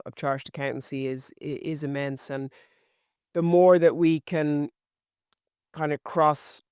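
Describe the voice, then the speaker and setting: Smooth-voiced woman podcast host. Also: sits low, no echo, studio quality. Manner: warm, encouraging, repeats words. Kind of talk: interview hosting.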